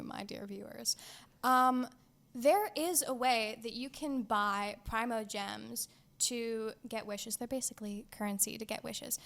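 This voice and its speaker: A woman's voice: a little high, gravelly. A woman co-hosting a gaming show, chatting casually and playfully with wry little asides.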